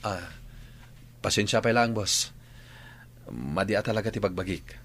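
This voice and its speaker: A man's voice: lowish voice, measured pace, a bit bright. An actor playing a brooding gunman in a radio drama, muttering his secret plans to himself. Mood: pained, apologetic. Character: brooding male gunman, reluctant gang member plotting in secret. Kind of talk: radio drama acting with inner monologue. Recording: old AM radio drama, noisy.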